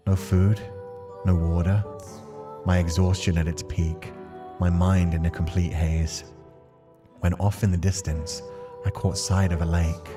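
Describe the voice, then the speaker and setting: Man voicing an exhausted wanderer in a visual novel, low, measured and somber, music underneath. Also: level tone. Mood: weary, somber. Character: weary, bloodstained wanderer recounting a desperate trek. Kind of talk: dramatic reading of visual novel narration.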